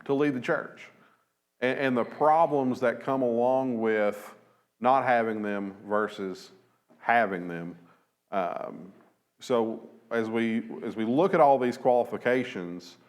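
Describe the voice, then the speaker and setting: A man in his thirties teaching a Bible lesson from a church lectern, measured and thoughtful, with frequent fillers and restarts. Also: medium pitch. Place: church auditorium at a lectern, slides behind.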